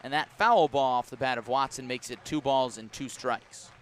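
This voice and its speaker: An American man doing live baseball play-by-play, measured and descriptive between pitches and emphatic on the action, speaking from a ballpark press box. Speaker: baseball play-by-play radio announcer. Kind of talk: live sports commentary.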